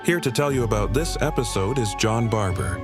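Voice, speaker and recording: A man's voice: on the low side, lively intonation, normal speed, over music. A man reading a scripted announcement to open a radio program.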